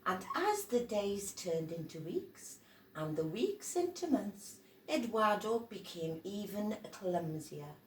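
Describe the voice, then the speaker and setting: Woman, storybook read-aloud, lively swooping pitch, dramatic emphasis, unhurried, roomy distant recording. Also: slight background noise. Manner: dramatic, animated delivery with emphasized words. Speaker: storybook reader. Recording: roomy echo, distant from microphone.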